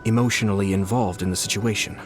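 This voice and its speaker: An actor playing a composed, shrewd young noble commissioner in a video game, speaking in a measured, formal, diplomatic way. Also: male voice, lowish voice, fairly even intonation, faint music behind, lightly acted. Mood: gently persuasive. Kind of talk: scripted video game dialogue.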